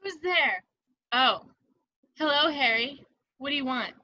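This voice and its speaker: Woman doing a mopey teen ghost girl, high n plaintive, dry muffled lo-fi audio. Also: animated, unhurried, bright, forceful tone, no echo, heavily acted. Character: mournful ghost of a teenage girl. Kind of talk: amateur table read of a film script.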